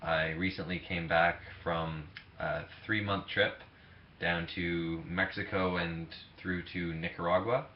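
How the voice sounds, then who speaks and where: A man in his twenties, a teacher, giving a relaxed webcam grammar lesson with many fillers. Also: deep voice, a little hiss or hum. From Canada. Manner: relaxed, halting explanation with fillers. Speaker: ESL teacher. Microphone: webcam mic. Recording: echoey room, dull lo-fi webcam audio.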